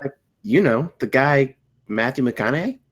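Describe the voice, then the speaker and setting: A woman playing a slow-witted, earnest slacker dude who cleans pools, in a comedy table read. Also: a bass voice, expressive, breathy edge, full character voice. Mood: earnest, clueless. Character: dim, laid-back slacker dude pool cleaner.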